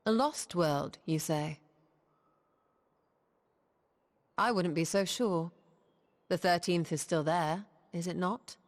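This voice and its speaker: Composed, low-pitched female scholar. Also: measured pace, no room sound. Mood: calm, pointed. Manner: articulate, formal, explanatory. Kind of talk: dramatic video game cutscene acting. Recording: background music under the voice.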